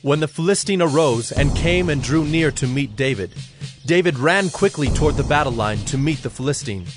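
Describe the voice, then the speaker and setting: A man giving measured, solemn scripted narration for a dramatized Bible. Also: fairly high pitch, animated, music in the background.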